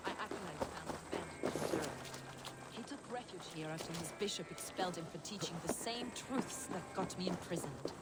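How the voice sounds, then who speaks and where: An actress plays a devout, scholarly heretic woman from a video game drama. She speaks in measured, fervent phrases as if preaching secret doctrine. Clear ambient noise runs behind her voice. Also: on the low side, lightly acted. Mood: matter-of-fact.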